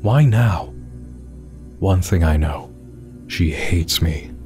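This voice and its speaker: A man's voice: deep voice, expressive, slow, over music. A man voicing a haunted, defiant male investigator, reading dramatically in the first person.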